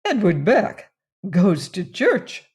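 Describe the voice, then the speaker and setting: A woman reader voicing an anxious, fussy elderly woman cousin who pleads and frets in a high fluttering voice. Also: wildly swooping pitch, slow pace, a little mellow, breathy edge, exaggerated voice. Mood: pleading fussy.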